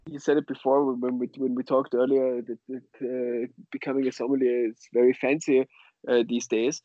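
A man with an Austrian accent and German as his native language talks casually as an interview guest. His phrasing is halting, with many pauses, and his mid-pitched voice comes through a dry, dull lo-fi connection. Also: darkish tone, dry recording. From western Austria. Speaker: guest, sommelier and wine bar co-owner.

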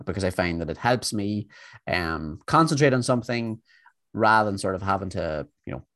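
A man's voice: sits low, creaky, no echo. A man co-hosting a podcast in casual chat, rambling with many fillers and restarts. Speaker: podcast co-host.

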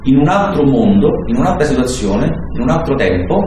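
A man's voice: mid-range voice, very animated, brisk, dark tone. A man talking off the cuff, explaining an idea. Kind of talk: spontaneous talk, explaining. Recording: very echoey room, clear noise.

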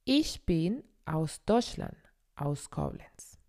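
Slow, low woman teaching German. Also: big pitch swings, dark tone. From Colombia. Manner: repeats phrases slowly for learners. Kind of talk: language lesson podcast.

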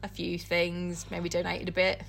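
A woman's voice: on the low side, completely flat delivery, unhurried, vocal fry, a bit bright. A woman podcast co-host mixing casual, comic chat with dramatic book readings, giggly, irreverent and free with swearing.

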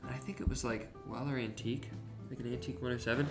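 A young man in his twenties talks loosely and easily, filling his speech with ums and restarts as he rambles through a story. He sits indoors at home. Soft guitar music plays faintly underneath. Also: medium pitch, steady tone, fast, gravelly. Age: 20s. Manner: rambling, laid-back, frequent ums and self-corrections. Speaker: podcast co-host. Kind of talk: casual chat. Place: house, indoors.

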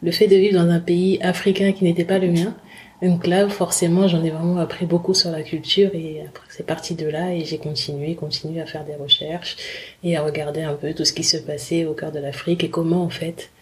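Interview guest, woman in her thirties, lower voice, halting, full of fillers. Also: flat delivery, a little mellow. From Congo. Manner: hesitant, many fillers and restarts. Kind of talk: casual conversational interview.

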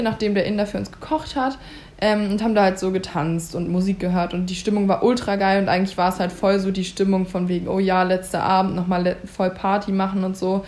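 A young woman in a casual chat, telling a story in a lively way and laughing often. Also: medium pitch.

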